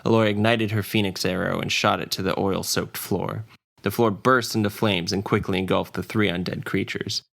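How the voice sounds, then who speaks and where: A man's voice: sits low, creaky, a bit bright. A man narrating a scripted fantasy adventure story as its dungeon master and storyteller. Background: faint ambience and music.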